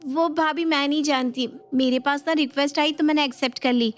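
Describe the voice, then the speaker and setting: A woman's voice: high voice, fairly even intonation, fairly quick, close to an everyday voice. A woman voicing a breezy, evasive young wife in a family storybook, with a glib, pleading way of talking. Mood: breezy, defensive. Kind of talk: dramatised Hindi family storybook. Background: music.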